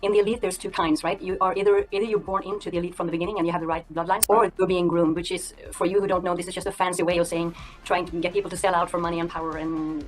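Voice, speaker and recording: Woman, rapid speech, low voice, eager, faint music. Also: flat delivery, rough recording. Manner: eager, affirming. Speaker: interviewer. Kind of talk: interview questions.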